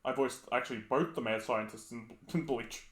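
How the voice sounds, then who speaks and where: A man with a naturally deep voice co-hosting a podcast, explaining things in relaxed casual chat. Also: normal speed. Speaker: podcast co-host, hobby voice actor. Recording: roomy echo.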